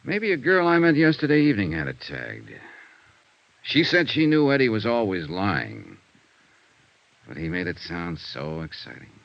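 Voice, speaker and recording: A weary, dryly wry private investigator of old radio noir. His very deep voice moves expressively in terse, punchy phrases. The vintage recording is dull with some background noise. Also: huge pitch swings, normal speed, creaky, heavily acted. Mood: tired, measured. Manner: clipped, terse, punchy delivery. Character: hard-boiled male insurance investigator, world-weary, dryly wry. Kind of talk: 1950s radio noir acting and narration.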